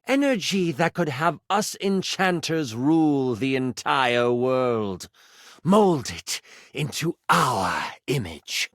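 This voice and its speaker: A man voicing an evil, gloating enchanter woman fused with a djinn, in a drawn-out theatrical game monologue. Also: on the high side, fairly bright tone, no echo, clean studio sound, full character voice.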